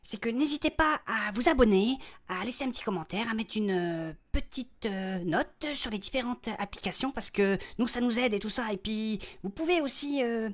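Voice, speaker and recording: A spirited young-sounding heroine of a fantasy audio comedy, acted by a woman. Her voice is high and she speaks in a brisk, exclamatory way. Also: animated. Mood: flustered.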